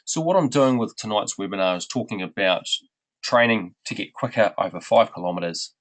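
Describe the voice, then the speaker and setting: A middle-aged running coach with a New Zealand accent, blocked up with a head cold, giving a webinar in an unhurried, friendly way with many ums. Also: male voice, on the low side. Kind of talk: webinar presentation.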